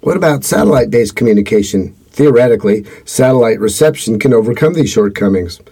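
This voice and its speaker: A man hosting a podcast, reading his script in an earnest, steady way. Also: mid-range voice, quick pace, slightly rough. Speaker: podcast host.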